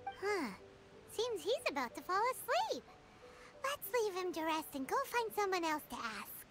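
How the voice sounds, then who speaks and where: A woman voicing a tiny, cheerful floating fairy companion in a video game, with a squeaky childlike voice. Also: sing-song, a little slow, exaggerated voice. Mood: curious, considerate. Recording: loud game music behind.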